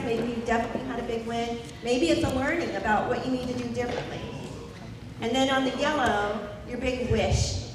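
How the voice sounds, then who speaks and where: A woman's voice: a little high, noisy background. A woman with a Southern US accent leading a workshop, upbeat and instructive, carefully repeating each step for the group. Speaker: workshop facilitator. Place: large meeting hall with many tables. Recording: echoey hall from far off, busy crowd.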